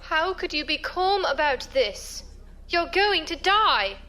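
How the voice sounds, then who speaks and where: A woman's voice: very high voice, big pitch swings, slow, sharp tone, heavily acted. An actress playing an earnest, tender-hearted female passenger among space pirates in a science fiction audio drama. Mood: worried.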